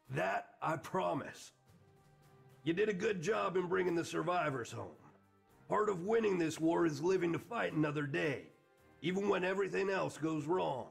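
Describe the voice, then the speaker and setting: Space-game squadron commander played by a man: gruff, blunt, heavily gravelled and husky, slightly high-pitched. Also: full character voice. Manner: blunt, emphatic.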